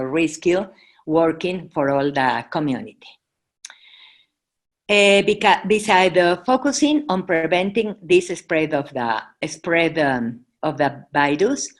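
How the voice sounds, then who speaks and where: Slow, low-voiced woman with Mexican accent. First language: Spanish. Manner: halting, searching for English words. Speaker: Red Cross presenter. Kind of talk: informal presentation.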